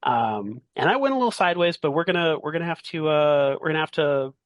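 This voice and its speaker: Man running a halting tabletop story, dry sound. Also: a little high, expressive, no echo, highs cut off. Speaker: game master. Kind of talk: narrating a tabletop game, casual post-game chat.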